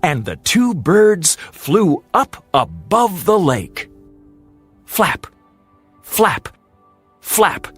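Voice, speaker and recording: A storyteller narrating a fairy tale for English learners in a deliberate, expressive way, drawing out repeated words. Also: medium pitch, husky, dry recording, faint music behind.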